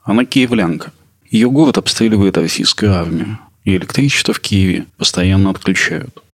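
A man presenting a culture podcast in a narrating style, with a gravelly, husky voice. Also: low-pitched, normal speed. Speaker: podcast host.

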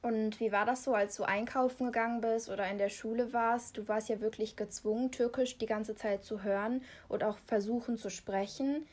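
A woman's voice: a little high, fairly even intonation, normal speed, hardly any pauses. A woman interviewer who asks long, careful questions and sums up what her guest has said.

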